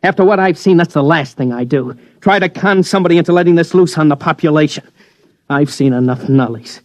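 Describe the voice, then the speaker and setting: A man's voice: fairly high pitch, brisk, no room sound, natural voice. An actor playing a cynical, wary newsman who narrates a 1950s radio drama in a terse, hard-boiled way. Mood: bitter. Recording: old radio recording with music underneath.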